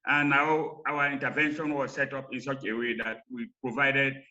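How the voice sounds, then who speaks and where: A man presenting research in a lecture, with halting, emphatic phrasing. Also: medium pitch, little pitch movement, bright, forceful tone. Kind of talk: academic lecture. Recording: slightly dull online call audio.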